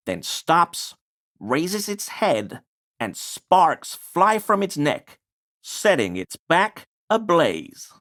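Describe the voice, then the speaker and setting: An actor playing an obsequious, conspiracy-minded amateur researcher in a video game, deferential and wheedling while sounding pseudo-scholarly. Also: male voice, medium pitch, fairly bright tone, exaggerated voice. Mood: earnest lecturing. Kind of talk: video game character dialogue.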